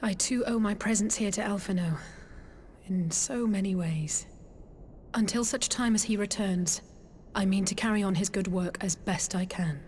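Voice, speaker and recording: A woman's voice: medium pitch, normal speed, darkish tone, slight background noise, close to an everyday voice. Woman playing an earnest, determined young noblewoman. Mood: earnest resolve. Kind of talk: video game cutscene acting.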